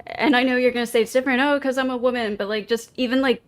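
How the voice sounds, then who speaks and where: Woman, 30s, panel guest, hedging and filler-heavy, a bit high-pitched. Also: fairly even intonation, fast talker, clean tone. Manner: hesitant, filler-heavy, says "like" often. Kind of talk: casual debate.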